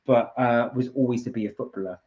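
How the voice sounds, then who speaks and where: Male British livestream co-host, chatty and hesitant, unpolished and informal. Muffled, low-fidelity sound. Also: fairly even intonation, quick pace, darkish tone. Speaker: live stream co-host. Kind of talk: casual live chat, reading viewer comments. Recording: dull, lo-fi livestream audio.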